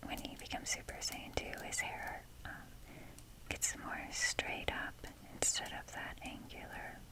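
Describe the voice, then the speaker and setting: Whispering young woman, slow ASMR ramble. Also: rough recording. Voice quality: breathy unvoiced whisper. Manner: unhurried, informal, rambling. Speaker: ASMR video creator. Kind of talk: whispered ASMR, rambling casual show-and-tell.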